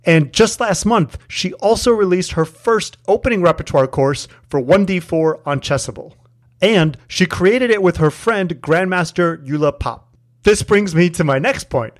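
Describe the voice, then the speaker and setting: A man's voice: high-pitched, animated, normal speed. A man speaking as the host of an interview podcast. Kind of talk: interview and scripted introduction.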